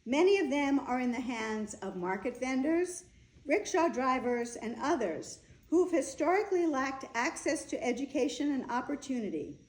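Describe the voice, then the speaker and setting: A woman's voice: high voice, lively intonation, normal speed, darkish tone. A woman playing an earnest wedding official who offers quotations in a staged reading. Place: indoor room, staged reading. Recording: echoey room.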